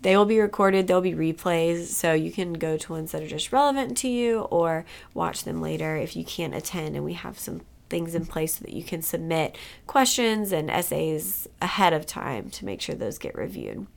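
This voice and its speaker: A woman's voice: sits low, animated, normal speed, gravelly, few pauses. A woman hosting a podcast who has a cold. She talks in an upbeat, chatty way, with fillers like "uh" and "like". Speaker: podcast host. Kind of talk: podcast intro and announcements.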